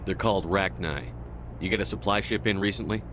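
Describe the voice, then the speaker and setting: A man voicing a decisive, steady space navy commander in a video game, terse and authoritative. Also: low voice, brisk, background noise, lightly acted. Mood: businesslike.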